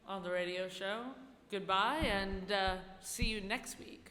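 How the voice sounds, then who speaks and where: A woman's voice: mid-range voice, unhurried, crowd noise. A woman hosting a casual interview, prompting guests in an informal, playful way. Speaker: host, improv teacher. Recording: echoey hall, some background noise.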